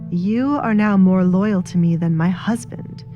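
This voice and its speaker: A woman's voice: mid-range voice, measured pace, muffled recording, natural voice. A cruel, sly baroness played by a woman narrator in a dramatic audio story. She is haughty and menacing, turns mock-wounded to accuse, and speaks over background music. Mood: menacing, commanding. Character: sly, cruel, scheming baroness, a married mother.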